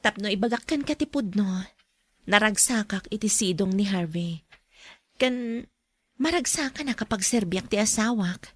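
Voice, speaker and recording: An actress playing a devoted, calm young wife in an audio drama, with an Ilocano Filipino accent. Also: female voice, mid-range voice, normal speed, husky, no room sound. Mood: firm.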